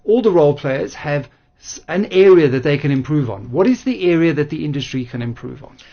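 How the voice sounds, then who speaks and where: A man hosting a radio interview, asking measured, articulate questions in a creaky voice. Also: fairly high pitch, lively intonation. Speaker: radio host. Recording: dull lo-fi radio audio.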